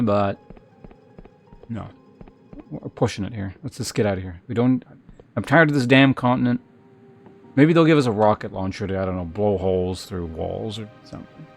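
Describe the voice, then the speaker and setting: A man's voice: medium pitch, creaky. A man giving casual, rambling gameplay commentary as a streamer, thinking aloud as he plays. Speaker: game streamer.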